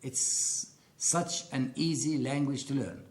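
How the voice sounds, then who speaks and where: A man in his sixties with an Arabic accent, giving earnest, deliberate personal testimony in an interview. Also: mid-range voice, steady tone, darkish tone, roomy sound. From Upper Galilee, Israel. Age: 60s. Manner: earnest, deliberate storytelling with emphatic pauses.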